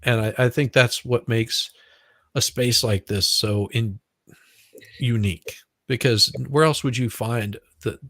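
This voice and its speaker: A man with a Southern US accent in casual live stream chat, talking in a halting, reflective way. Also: medium pitch, fairly even intonation, fairly bright tone, no room sound, studio quality.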